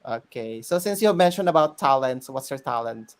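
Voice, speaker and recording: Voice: mid-range voice, lively intonation, normal speed. A host, running a live chat interview, switching between English and Tagalog with many fillers.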